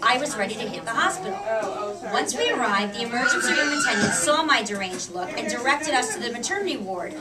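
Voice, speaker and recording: A woman's voice: on the high side, animated, quick pace, over a noisy crowd. An American woman reading her own humorous personal essay aloud at a live book event, picked up from a distance. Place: public book reading in a shop. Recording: distant mic in a noisy crowded room.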